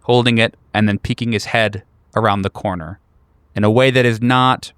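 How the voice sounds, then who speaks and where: A man narrating a tabletop horror game as game master, describing scenes with halting pauses mid-sentence. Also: fairly low pitch, steady tone, fairly bright tone, clean tone, no room sound, studio quality.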